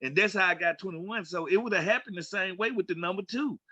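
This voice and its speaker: Male sports co-host, high and bright, emphatic raconteur who voices both sides of conversations, dry, slightly muffled recording. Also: fast, dry recording. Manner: animated storyteller, acts out quoted dialogue, emphatic. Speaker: sports talk show co-host, former pro football player. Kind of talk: casual sports talk and storytelling.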